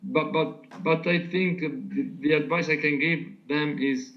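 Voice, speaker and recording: A man from Italy with an Italian accent, answering interview questions in English with many pauses and fillers. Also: fairly high pitch, steady tone, creaky, dull audio. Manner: hesitant, many fillers, searching for words. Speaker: physical therapist guest.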